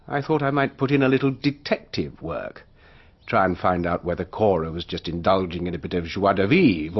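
An actor playing a sly, nosy, idle young gentleman in a radio drama, arch and insinuating. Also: low voice, breathy edge, highs cut off, full character voice.

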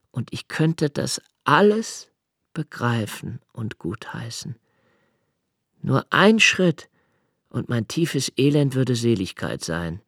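A tormented, brooding male writer in a radio play, close and dry. He speaks very slowly and haltingly, weighing each phrase and leaning hard on certain words as he confesses his dread. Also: medium pitch, no room sound. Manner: slow, deliberate, halting phrasing with intense emphases. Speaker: radio drama actor. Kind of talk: literary radio play monologue.

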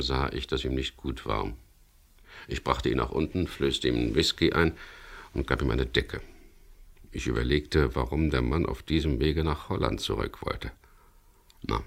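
An actor playing a dry, businesslike skipper in a radio crime drama, with a gravelly voice. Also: male voice, rumbling low, heavily acted. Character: wary, businesslike skipper of a chartered sailboat, also narrating. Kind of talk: 1970s German radio crime drama, narration and dialogue.

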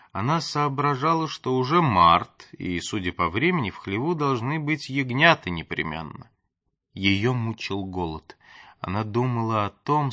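A man reading a story aloud as an audiobook narrator, with expressive, theatrical and varied delivery. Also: on the low side, no echo, clean studio sound.

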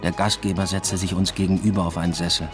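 A low-pitched actor as a male lecturer leading a double life tells his story in a literary radio play. He is dry, introspective and evasive, with clear music behind him. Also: flat delivery, fast talker, natural voice. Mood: wry, reflective. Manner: dry, matter-of-fact, introspective. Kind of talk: literary radio drama first-person narration.

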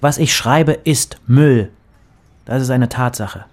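A man's voice: mid-range voice, slow pace, close to an everyday voice. Radio drama: actor as sardonic, worn-out male poet, dry delivery, grumpy and defeatist. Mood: bitter, defiant. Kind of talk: German radio play acting.

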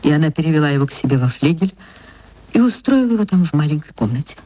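Expressive woman narrating a literary story. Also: low-pitched, fast talker, dry recording. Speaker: narrator, actress reading.

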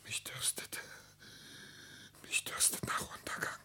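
An actor playing a proud, broken elderly gentleman in a classic radio drama, slow and brooding, his gravelly voice falling into hoarse whispers. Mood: despairing, failing. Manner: slow, brooding, theatrical delivery. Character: broken, proud elderly privy councillor, weary and despairing. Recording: old noisy radio recording with hum.

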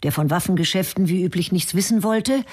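A woman narrating a radio drama in an even, documentary-like way. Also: on the low side, fairly quick. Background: music.

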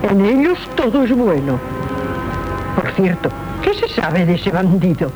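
Older noblewoman, gossip, mid pitch, showy lamenting, radio play, muffled sound, music. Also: big pitch swings, unhurried. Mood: scandalised. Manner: effusive, lamenting, sententious. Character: gossipy, self-important older noblewoman relative. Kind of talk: theatrical 1970s radio drama acting. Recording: dull vintage radio recording.

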